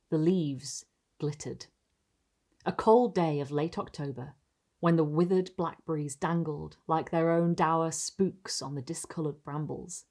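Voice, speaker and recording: A woman presenting and reading literary tales in a dramatic storytelling style, savouring her words with deliberate, careful enunciation. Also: on the low side, darkish tone. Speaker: host reading and analysing short stories.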